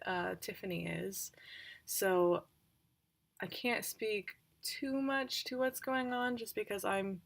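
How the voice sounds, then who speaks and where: A woman's voice: medium pitch, vocal fry, fairly bright tone. A woman giving a casual, unscripted recap as a tabletop roleplaying player, informal and a little hesitant, correcting herself as she goes.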